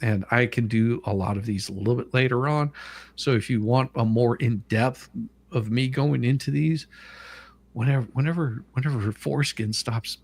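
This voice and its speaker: A man's voice: mid-range voice, normal speed, darkish tone. A man reading legal documents aloud and adding casual, blunt commentary, sometimes stumbling over the formal wording, as co-host of a livestream.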